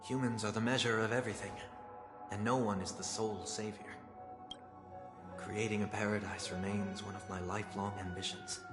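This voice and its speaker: An actor playing a refined, earnest fallen idealist in a video game, speaking formally and eloquently. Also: male voice, on the low side, fairly even intonation, moderate pace, roomy sound, big-room sound, music in the background, close to an everyday voice. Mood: earnest, reflective. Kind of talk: dramatic video game dialogue.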